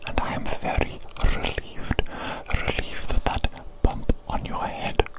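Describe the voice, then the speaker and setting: A woman playing an evil doctor in a whispered ASMR medical roleplay, close to the mic, repeating phrases to the patient. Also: lightly acted. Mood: attentive, reassuring. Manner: slow repeated phrases, soothing and attentive. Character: evil doctor, doting on patient, gleefully vengeful. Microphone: close ASMR microphone.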